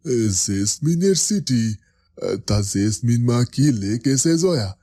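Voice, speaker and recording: A man's voice: mid-range voice, sing-song, takes it slowly, dark tone. A man playing a kindly older village headman, homely and fatherly, in a fairytale cartoon. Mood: curious, grateful.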